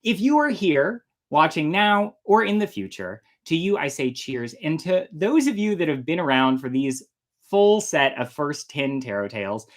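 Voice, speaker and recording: A woman hosting a storytime, reading a fairy tale in a theatrical, deliberate way with casual asides. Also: low voice, big pitch swings. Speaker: storyteller and host.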